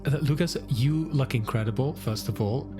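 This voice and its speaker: An adult improvising casual tabletop game talk in a halting, effusive way. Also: mid-range voice, music in the background. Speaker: tabletop roleplaying participant.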